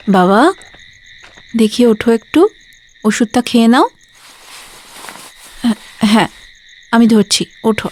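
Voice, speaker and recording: A woman's voice: mid-range voice, slight background noise, close to an everyday voice. A woman playing a weary, devoted daughter who cares for her bedridden father, confiding and emotional in an intimate audio drama monologue. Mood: gentle coaxing.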